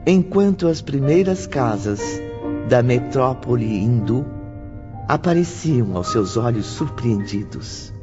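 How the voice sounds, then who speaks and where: A male narrator reads a story with lyrical deliberation, unhurried and widely inflected. Music plays clearly behind the slightly dulled recording. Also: medium pitch, animated. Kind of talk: scripted audiobook narration. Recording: dull lo-fi audio with background music.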